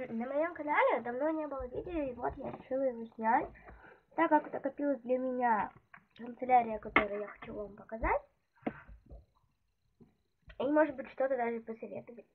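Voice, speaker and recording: A woman's voice: high-pitched, moderate pace, pauses often. A woman vlogger showing her stationery at a desk, talking in a chatty, hesitant way while she is ill. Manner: chatty, hesitant, many fillers. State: ill, voice affected. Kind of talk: casual show-and-tell. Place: indoors at a desk, hands on table. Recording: tinny phone-like audio with loud noise.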